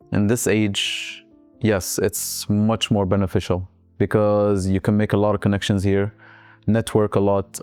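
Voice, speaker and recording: Deep, flat-voiced young man, non-native English. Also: clean tone, no room sound. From Algeria. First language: not English. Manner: relaxed, often hedges with "let's say". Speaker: interviewee. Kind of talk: reflective personal storytelling.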